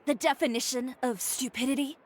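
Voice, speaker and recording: A woman's voice: high voice, fairly even intonation, brisk, slight background noise, natural voice. A woman playing a haughty, short-tempered young boutique owner in an anime game, stern and contemptuous in a clipped way. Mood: cold threatening.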